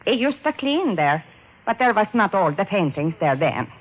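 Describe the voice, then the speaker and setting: A woman playing a nervous, eager housemaid with a foreign accent and halting, broken English in radio drama. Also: mid-range voice, big pitch swings, slightly rough. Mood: earnest recalling. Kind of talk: 1940s-50s radio drama acting. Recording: tinny, phone-like old radio recording.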